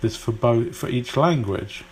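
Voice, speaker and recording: A man's voice: mid-range voice, measured pace, slight background noise. A man from Britain whose British English accent carries some Polish influence, teaching an informal English lesson in a rambling, hesitant way with many fillers and restarts.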